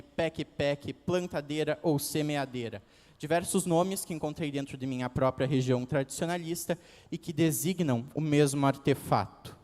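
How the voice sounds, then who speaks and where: A man's voice: fairly high pitch, a little mellow, in a large hall. An eighteen-year-old man with a gaúcho Brazilian accent gives a formal, declamatory oral presentation as a pageant contestant. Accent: Gaúcho (Rio Grande do Sul) Brazilian Portuguese. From Rio Grande do Sul, Brazil.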